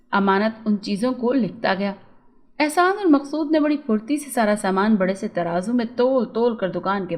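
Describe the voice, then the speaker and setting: A woman storyteller reading a scripted moral tale as narration. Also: fairly high pitch.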